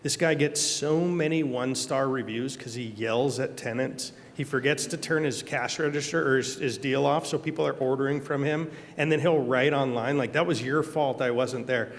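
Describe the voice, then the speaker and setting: A man's voice: mid-range voice, steady tone, moderate pace, few pauses, in a large hall. A man on a discussion panel, talking casually and telling anecdotes, laughing as he goes. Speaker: panelist, kitchen operator. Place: event hall.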